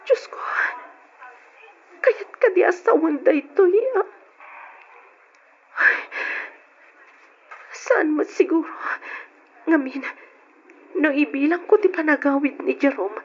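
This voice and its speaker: An actress playing an emotional, loving woman in an old-time Ilocano radio family melodrama, with expressive, drawn-out delivery. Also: sits high. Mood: anxious, emotional. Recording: dull, noisy old radio recording.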